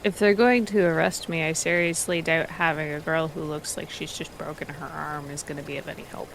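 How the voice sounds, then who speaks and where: A woman plays a stoic male mage in a tabletop roleplaying game. He is stiff and reserved, talking drily in a lowish female register over faint background noise. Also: fairly even intonation, a little fast. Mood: dry, resigned.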